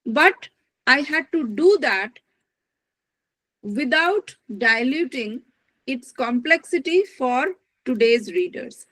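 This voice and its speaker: A woman giving an academic lecture as a literary translator, speaking in a measured, thoughtful way and searching for her words. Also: sits high, lively intonation, pushed and bright, dry recording, poor audio. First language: not Dutch.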